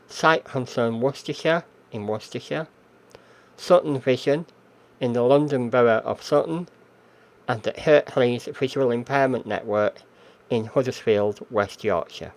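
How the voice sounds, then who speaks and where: A man announcing an event series in a measured, scripted read. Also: medium pitch, poor audio. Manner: measured, reading out a list. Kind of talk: scripted introduction.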